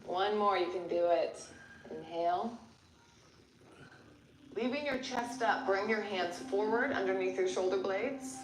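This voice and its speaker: A woman yoga instructor guiding a class step by step with gentle encouragement. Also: mid-range voice, measured pace, long pauses, slight background noise. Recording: roomy echo with music behind. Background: background music.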